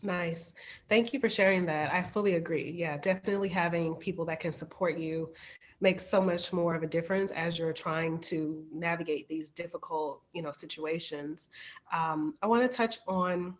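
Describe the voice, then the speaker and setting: A woman hosts a live panel over a video call, her voice sitting fairly low. She affirms her guest and asks questions in an encouraging way. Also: steady tone, normal speed. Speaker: host.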